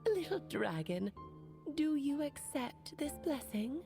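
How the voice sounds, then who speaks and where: A woman's voice: high-pitched, big pitch swings, slow pace, creaky, music in the background, exaggerated voice. A woman playing a solemn, formal blessing-giver in a fairy-tale video game. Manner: formal, ceremonious. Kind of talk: video game character acting.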